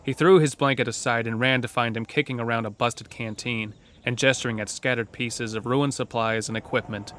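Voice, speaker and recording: A man's voice: mid-range voice, steady tone, a little fast, hardly any pauses, a bit bright, a little hiss or hum. A man narrating a scripted western horror story for an audio drama.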